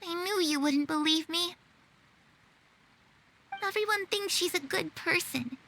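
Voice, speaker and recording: A woman's voice: extremely high pitch, unhurried, bright, forceful tone, slight background noise, full character voice. A woman playing a disillusioned, secretive young girl in a video game, speaking with wistful, bitter resignation. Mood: resentful.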